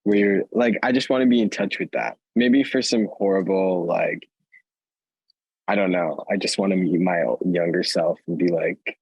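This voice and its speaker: A man hosting a casual interview podcast in a relaxed, laid-back way, laughing easily. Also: deep voice, moderate pace, vocal fry, slightly rough, no room sound, dull audio. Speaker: host.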